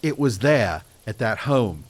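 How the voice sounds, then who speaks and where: A man's voice: mid-range voice, lively intonation, unhurried, a little hiss or hum, lightly acted. A man playing an earnest, haunted ex-detective in an audio drama, telling his story in a courteous, old-fashioned way. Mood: storytelling. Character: earnest, haunted male British ex-detective, grieving and obsessive.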